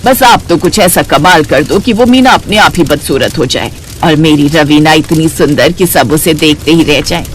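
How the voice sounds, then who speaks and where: A woman's voice: deep voice, moderate pace, few pauses, soft background music, pitched below the performer's own voice, lightly acted. A woman voicing a scheming, contemptuous middle-aged stepmother in a cartoon story, sly, bossy and coaxing. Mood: conniving. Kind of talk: Hindi cartoon story character acting.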